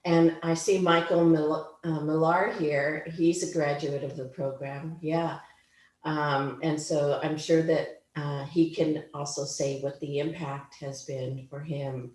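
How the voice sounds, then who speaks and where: Low-pitched woman mentor coach, slow and hesitant, echo of a room. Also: steady tone, a little mellow. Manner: hesitant, many ums and pauses. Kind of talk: orientation talk.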